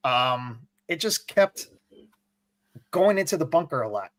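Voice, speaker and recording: Halting podcast co-host. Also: male voice, a little high, animated, a bit bright, no room sound, poor audio. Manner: halting, restarts often. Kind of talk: casual sports podcast chat.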